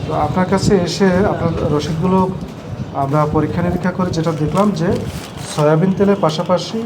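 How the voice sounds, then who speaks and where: A man's voice: on the high side, fairly even intonation, brisk. A Bangladeshi man speaking as a consumer rights official, formally questioning and explaining rules during an inspection. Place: recorded on location at market shops. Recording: busy outdoor market street noise.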